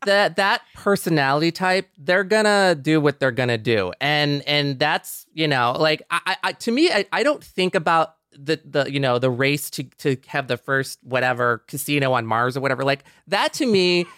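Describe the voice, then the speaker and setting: Male panelist, smooth clear mid-range voice, earnest and conversational, dry close recording. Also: a little high, animated, normal speed, hardly any pauses, fairly bright tone, no echo. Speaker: podcast panelist. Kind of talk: casual panel discussion.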